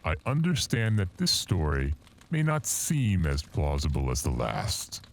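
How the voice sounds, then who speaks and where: A man playing a grim, proud, eloquent storyteller in a horror audio anthology, theatrical and measured. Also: very deep voice, huge pitch swings, a little hiss or hum, full character voice. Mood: ominous.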